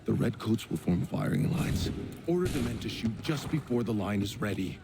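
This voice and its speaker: An actor playing a gruff, sardonic, battle-worn middle-aged militia commander in a video game, with a gravelly voice. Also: male voice, fairly low pitch, very animated, moderate pace, background noise. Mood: urgent, commanding. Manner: sardonic, blunt. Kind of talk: video game cutscene acting.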